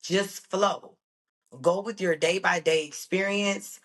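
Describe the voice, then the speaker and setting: Podcast host, mid pitch, affirming and reflective, conversational. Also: steady tone, slow, a bit bright. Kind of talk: casual interview talk.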